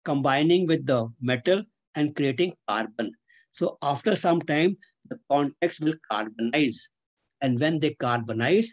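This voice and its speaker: An older man with a fairly high voice teaches a technical class over a video call. He is deliberate and didactic, going point by point through his slides and repeating or spelling out key terms. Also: darkish tone. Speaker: engineering instructor. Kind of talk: webinar lecture explaining slides. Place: indoors, on a webcam video call.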